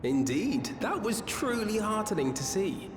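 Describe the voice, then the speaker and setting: An actress playing a gracious, encouraging companion in a fantasy video game, speaking politely and gently. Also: female voice, medium pitch, big pitch swings, measured pace. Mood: pleased, encouraging. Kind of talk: video game cutscene acting. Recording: echoey, with music behind. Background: music.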